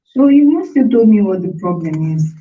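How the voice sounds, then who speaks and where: A woman's voice: mid-range voice, very animated, moderate pace, natural voice. A woman with a Nigerian accent playing a busy, caring working wife and mother in a radio drama. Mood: caring, concerned. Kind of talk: amateur radio drama acting. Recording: muffled, distant, noisy recording with clicks.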